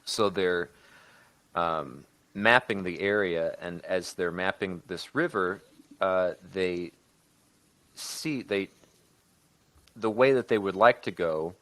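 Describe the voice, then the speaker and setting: A man's voice: low voice, a bit bright, dry recording. A man on a podcast talking casually through a story idea as a co-host, often backtracking and rewording as he goes. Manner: halting, often backtracking and rewording mid-sentence. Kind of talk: casual creative discussion, improvised story pitch.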